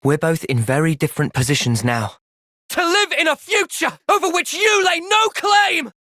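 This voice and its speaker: A young man acting an earnest, thoughtful soldier hero in a video game cutscene, speaking in a measured, sincere way. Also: very high voice, sing-song, sharp tone, dry recording, studio quality, heavily acted. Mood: urgent shouting.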